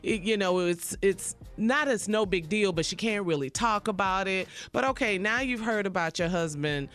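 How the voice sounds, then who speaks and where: Woman chatting through gossip, middling pitch. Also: normal speed, fairly bright tone. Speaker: radio reality TV segment host. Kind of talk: chatty entertainment gossip recap. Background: faint music.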